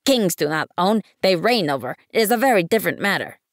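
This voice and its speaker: A woman voicing a pompous, peevish middle-aged businessman who insists on matters of consequence, speaking loudly and firmly. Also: sits high, very animated, fast, dry recording, clean studio sound.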